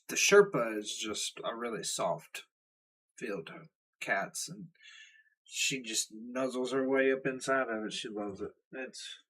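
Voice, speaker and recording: A man's voice: medium pitch, fairly bright tone, husky, poor audio. A young man with a Southern US accent, hosting a reaction video and ranting in a blunt, rambling, profane way. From Southern United States. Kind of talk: casual ranting commentary.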